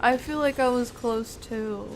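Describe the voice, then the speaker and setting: A woman live-streaming a horror video game, chatting casually with viewers in an excitable, slangy, exclaiming way. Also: on the high side, unhurried, slight background noise. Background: game music.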